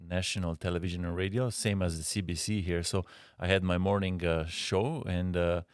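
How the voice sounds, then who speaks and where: A man from Slovenia with a Slovenian accent, a guest designer answering interview questions in a halting way with frequent pauses. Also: sits low, level tone, smooth, no room sound, studio quality.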